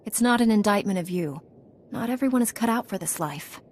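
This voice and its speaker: An actress playing a composed, principled young female apprentice in a video game, calm and direct. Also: mid-range voice, a little fast, close to an everyday voice. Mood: firm, sympathetic. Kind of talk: video game dialogue acting.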